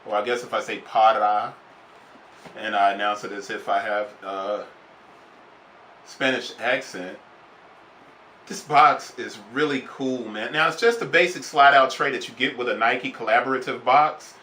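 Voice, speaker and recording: A man's voice: mid-range voice, a little slow, pushed and bright, roomy sound, a little hiss or hum. A man casually reviewing a sneaker on camera, rambling and enthusiastic, repeating himself as he goes. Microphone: camera then phone.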